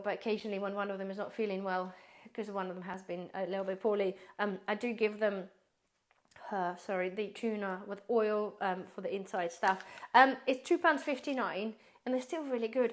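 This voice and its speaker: A woman prepper vlogger chatting casually through a grocery haul, rambling, addressing viewers as guys, her voice slightly hoarse. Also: mid-range voice, moderate pace. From based in the UK.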